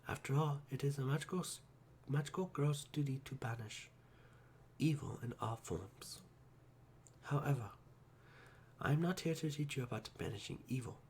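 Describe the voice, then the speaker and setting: A man voicing a formal female teacher of magical history, lecturing in a stiff, measured way. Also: mid-range voice, darkish tone, a little hiss or hum, lightly acted.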